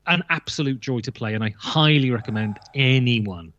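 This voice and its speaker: A man's voice: medium pitch, normal speed, a bit bright. A man chatting casually and emphatically about board games as a guest, sometimes jokey, on slightly muffled audio. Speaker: guest on a board game list show. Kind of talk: casual opinionated chat.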